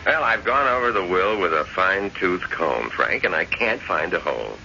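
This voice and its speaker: An actor playing a sensible, sympathetic lawyer, measured and a little hesitant, in old-time radio drama style. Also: male voice, sits low, expressive, creaky, sharp tone, full character voice. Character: Bill, sensible, sympathetic male lawyer friend. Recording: dull, noisy vintage radio recording.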